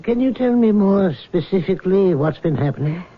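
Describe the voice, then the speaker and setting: An actor playing a calm doctor in a radio drama, speaking in a measured, questioning way. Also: male voice, sits high. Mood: probing. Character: calm middle-aged male doctor, patient and probing. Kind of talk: 1970s radio drama acting. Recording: dull old radio broadcast.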